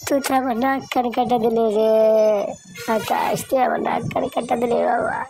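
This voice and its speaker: A woman acts out an anguished village mother in a moral cartoon. Her high Bangladeshi-accented voice scolds and laments, circling back over the same phrases, with music underneath. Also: level tone, normal speed, natural voice. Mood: anguished reproach. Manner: plaintive, repeating phrases in reproach. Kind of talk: melodramatic Bengali moral cartoon acting.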